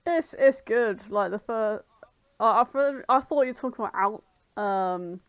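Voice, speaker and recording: A woman's voice: a little high, measured pace, smooth, no room sound. Woman in dry, casual chat. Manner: informal, sweary, British slang. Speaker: podcast co-host.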